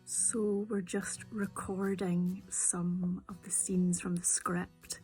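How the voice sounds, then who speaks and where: A woman giving quiet behind-the-scenes commentary on a recording session. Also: fairly low pitch, level tone, slow, faint music behind.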